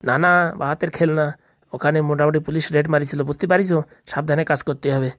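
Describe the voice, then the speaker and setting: A woman voicing an irritable, bossy male thief in a comedy cartoon, grumbling and scolding in rough slang. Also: low voice, fairly even intonation, quick pace, no room sound, studio quality. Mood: instructing.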